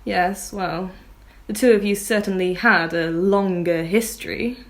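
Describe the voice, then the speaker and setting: A woman's voice: mid-range voice, fairly even intonation, a little slow, lightly acted. A woman playing an arch lady of the manor. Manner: genteel, arch. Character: haughty lady of the manor, suspicious.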